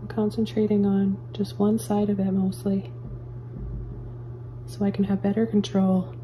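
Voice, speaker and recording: A woman calmly talking viewers through painting step by step, as a painting teacher in her studio. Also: medium pitch, a little slow, slight background noise. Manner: calm, gentle step-by-step instructions. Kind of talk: real-time painting tutorial. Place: indoor studio at an easel.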